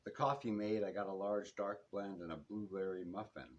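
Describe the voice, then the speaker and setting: A man reading his own first-person detective novel aloud in measured short phrases that fall away at the ends, as at a live bookshop reading. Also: on the low side, steady tone, dull audio.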